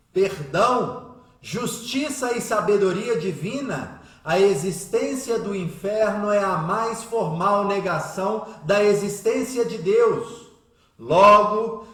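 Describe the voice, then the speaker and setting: A man playing a composed, devout teacher who speaks with gentle, reasoned oratory in a radio drama. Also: high voice, roomy sound, exaggerated voice. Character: composed, devout, soft-spoken Spiritist teacher, man about thirty.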